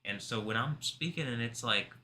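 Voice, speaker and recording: A man hosting a live stream, talking in an intense, rambling way, confrontational at times and soft-spoken at others. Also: medium pitch, normal speed, slight background noise. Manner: calls himself soft-spoken. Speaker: livestream host.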